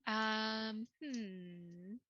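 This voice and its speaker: A woman therapist chats as a podcast guest, keeping her voice bright and a little high. She answers warmly with many short agreements and friendly questions. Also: extremely slow, muffled recording. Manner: affirming, lots of short agreements. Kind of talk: casual chat.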